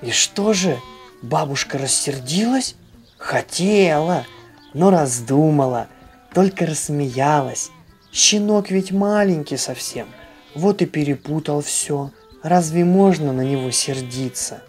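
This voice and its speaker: A storyteller reads for children in an expressive way. The pace is slow and the pitch sits in the middle, with music playing behind the voice. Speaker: storyteller and channel host.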